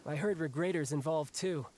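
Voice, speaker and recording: Guy acting cocky boyish anime game fighter, kinda high voice, breezy n wry, slight noise behind. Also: measured pace, darkish tone, natural voice. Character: breezy, cocky young warrior man, restless and battle-hungry.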